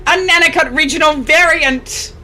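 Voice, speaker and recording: Woman as an excitable high-voiced female rival. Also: moderate pace. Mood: excited. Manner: shouting, gasping. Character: excitable, oblivious female rival creature trainer.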